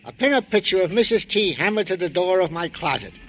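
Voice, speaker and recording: High-voiced, chatty New York police sergeant. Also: male voice, lively intonation, fairly quick, fairly bright tone, faint music behind. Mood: proud, chummy. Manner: mock-formal, wordy, earnest. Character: chatty, doting, long-winded middle-aged New York police sergeant. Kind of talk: 1950s radio comic-relief acting. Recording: hissy old radio recording.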